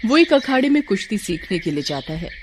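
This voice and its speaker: Casting: story narrator, mid-pitched, scripted delivery, clear noise behind. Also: very animated, brisk.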